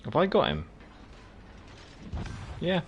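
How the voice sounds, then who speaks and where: A man's voice: fairly high pitch, wildly swooping pitch, slow pace, lo-fi sound, a little hiss or hum. A South African man whose first language is Afrikaans, hosting a game livestream and chatting casually with viewers while he plays. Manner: chatty, reads out and answers viewers by name. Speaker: gaming livestream host. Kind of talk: casual livestream commentary while playing, answering chat.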